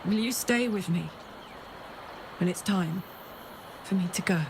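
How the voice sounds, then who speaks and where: A woman's voice: on the low side, measured pace, background noise. A woman acting a brash but tender warrior who is grieving her own coming death in a video game, raw and heartfelt. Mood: tender, sad.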